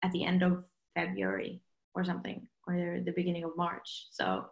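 A woman's voice: fairly low pitch, big pitch swings, moderate pace, reverberant. A young woman from Norway with a Norwegian accent, a singer chatting casually in an interview and saying "like" all the time. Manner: chatty, says "like" constantly.

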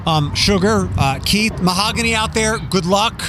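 A man's voice: sits high, normal speed, noisy background. Chatty joking male radio host. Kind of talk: casual interview.

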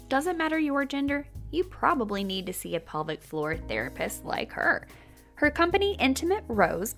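A woman's voice: mid-range voice, a bit bright. A woman hosting a podcast, speaking frankly and casually while sounding congested from a cold. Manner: frank and candid, swears casually. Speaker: podcast host. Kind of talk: casual podcast intro monologue.

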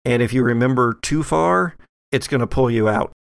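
Creaky-voiced man narrating a horror game. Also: mid-range voice, normal speed, no echo, clean studio sound. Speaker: game master.

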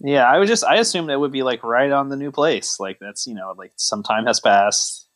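A man's voice: medium pitch, lively intonation, moderate pace, a bit bright. A man co-hosting a podcast in casual banter, riffing in a joking, mock-indignant way. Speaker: podcast co-host.

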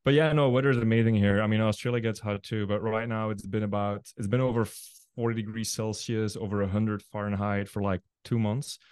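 A Belgian man whose first language is Dutch, hosting a podcast interview in a relaxed, friendly way. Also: lowish voice, fairly quick, hardly any pauses, clear, resonant tone, no echo, clean studio sound. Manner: relaxed, friendly, many fillers. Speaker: podcast host. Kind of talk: casual interview chat.

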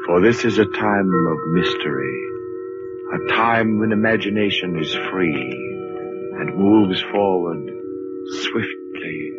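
A man's voice: deep voice, full character voice. A man playing a solemn, portentous narrator of a 1940s radio mystery. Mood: hushed portentous. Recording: muffled old 1940s radio recording.

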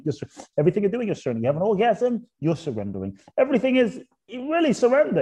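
Mellow-voiced man, thoughtful and halting. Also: fairly high pitch, husky. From Ghana. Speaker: guest author. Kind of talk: reflective podcast conversation.

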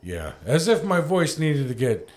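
A man co-hosting a casual comedy chat, raspy from a recent illness, swearing freely and bursting into put-on rants. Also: on the high side, sing-song, unhurried, a little mellow. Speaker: podcast co-host.

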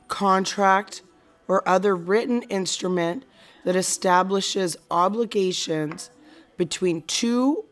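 A woman acting as a serious, reflective friend in a naturalistic audio drama, speaking in a measured, deliberate way. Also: medium pitch, close to an everyday voice.

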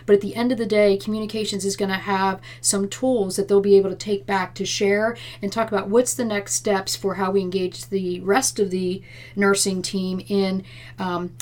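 A woman speaks as a senior nursing leader being interviewed. Her delivery is conversational and earnest, and she explains things step by step at a mid-range pitch. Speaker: chief nursing officer, interview guest. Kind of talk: conversational interview answers about workplace plans.

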